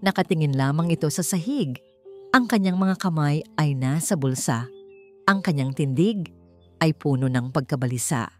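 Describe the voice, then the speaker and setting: A woman's voice: low voice, lively intonation, moderate pace. A woman reading a scripted, dramatic story as its narrator. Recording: music bed under the voice. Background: background music.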